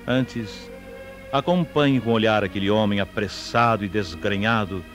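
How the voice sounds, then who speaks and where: A man giving solemn, rhetorical scripted narration for a religious drama. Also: on the low side.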